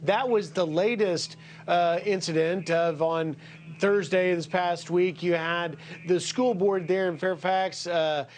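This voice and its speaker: A man's voice: high voice, fairly even intonation, slow, slight background noise. A man anchoring a TV news segment, introducing a guest and asking pointed questions. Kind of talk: TV news interview.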